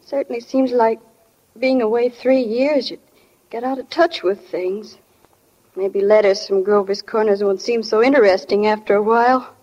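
A muffled 1940 radio recording carries an actress as a teenage girl in a sentimental drama. Her voice is high, and she speaks with hesitant, tender sincerity. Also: normal speed, dark tone, natural voice. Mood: tender, wistful. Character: earnest, sensitive small-town teenage girl in love. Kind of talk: 1940s radio drama acting. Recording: dull, lo-fi 1940 radio broadcast.

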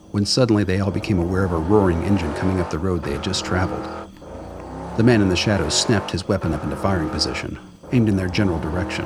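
A man's voice: deep voice, noisy background. A man reading scripted narration for a radio drama.

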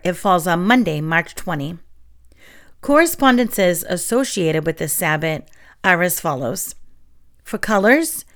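A young woman with a slightly lowered voice talks in a friendly, chatty way, mixing in fillers and soft laughs. She is getting over a sore throat, so her voice sounds a touch strained. She is from New England. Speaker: podcast host. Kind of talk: casual solo podcast talk and reading.

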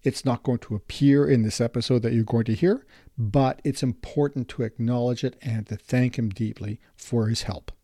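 A man's voice: mid-range voice, fairly even intonation, mellow, no room sound. Dry-sounding male podcast host. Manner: measured, reads intro then brief acknowledgements. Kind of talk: scripted intro then interview.

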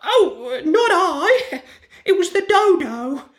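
A shrill squawking duck. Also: female voice, very animated, takes it slowly, reverberant, heavily acted. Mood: squawky.

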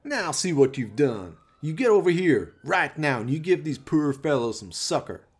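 A man's voice: a little high, big pitch swings, normal speed, slightly rough, pitched above the performer's own voice. A man voicing a swaggering, foul-mouthed gang leader with a leering, bossy, folksy drawl in a radio play. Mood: bullying.

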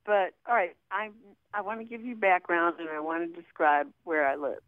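A woman's voice: lowish voice, steady tone, moderate pace, no room sound. A woman calling in by telephone, explaining casually and hesitantly, often correcting herself, over a tinny, narrow line. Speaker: phone-in caller.

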